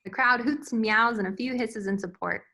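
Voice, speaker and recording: A woman's voice: a little high, normal speed, sharp tone. A woman reading scene headings and stage directions as the narrator of a screenplay table read.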